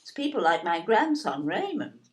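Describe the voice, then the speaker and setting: An actress playing a genteel, fussy older English grandmother, with a polite, gushing, brisk manner in an audio play. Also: mid-range voice, very animated, lightly acted. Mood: polite, chatty.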